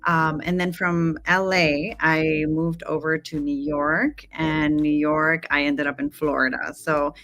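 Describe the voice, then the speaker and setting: A woman's voice: low-pitched, slow pace, few pauses. A woman in her forties from Guatemala, raised in Los Angeles, telling a story as a guest and animatedly acting out the dialogue. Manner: animated, acts out quoted dialogue. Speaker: marketing guest. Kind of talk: casual storytelling interview.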